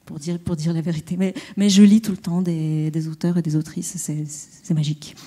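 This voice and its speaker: A woman poet and translator speaking at a round-table discussion, halting and searching for her words. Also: low-pitched, fairly even intonation, warm tone, husky.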